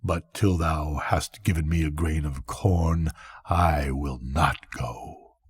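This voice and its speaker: An older man voicing a weary, relentless Death in slow, solemn, archaic speech with a husky voice, in a bedtime story. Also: a bass voice, fairly even intonation, no room sound, studio quality, pitched below the performer's own voice, heavily acted. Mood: unyielding.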